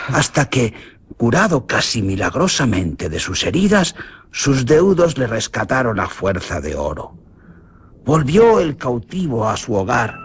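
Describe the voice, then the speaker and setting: A man with a Spanish accent from Spain narrates a legend for a radio drama with theatrical expression. His intonation rises and falls widely, giving the telling a lively sweep. Music runs clearly underneath his voice. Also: medium pitch.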